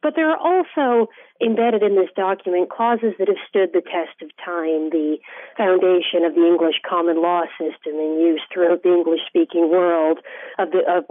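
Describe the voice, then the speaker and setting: A woman historian from Canada answering interview questions in a measured way, with some hesitant restarts. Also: lowish voice, fairly even intonation, no room sound. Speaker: historian guest. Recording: tinny phone-like line.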